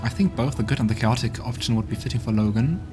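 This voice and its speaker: A man casually commenting on a game and reading its narration aloud, thinking out loud, with music in the background. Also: mid-range voice, quick pace. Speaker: let's play streamer.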